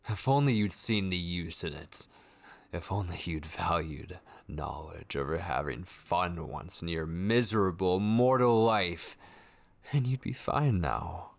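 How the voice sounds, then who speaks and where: A man acting an obsessive, possessive kidnapper in an ASMR horror roleplay, brooding and intense, with a breathy, gravelly voice that often drops into a whisper. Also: low voice. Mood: bitter, urgent. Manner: slow, intense, brooding delivery with drawn-out pauses. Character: obsessive, possessive male yandere kidnapper, menacing yet tender.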